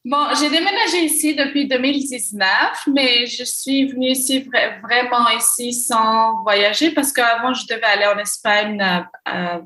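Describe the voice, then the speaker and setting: A young Moroccan woman answering interview questions, often pausing and restarting as she searches for words. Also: a little high, pushed and bright, reverberant, low-quality recording. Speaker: podcast guest, gender equality consultant.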